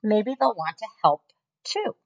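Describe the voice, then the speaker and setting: A woman's voice: mid-range voice, wildly swooping pitch, unhurried, pitched above the performer's own voice. A woman voicing a spirited teenage daughter who pleads eagerly, on a muffled lo-fi recording.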